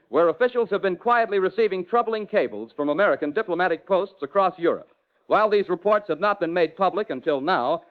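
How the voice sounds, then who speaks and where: A man playing an earnest 1940s radio field correspondent, giving a formal, serious report over a filtered radio line. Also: high-pitched. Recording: tinny phone-like vintage radio line.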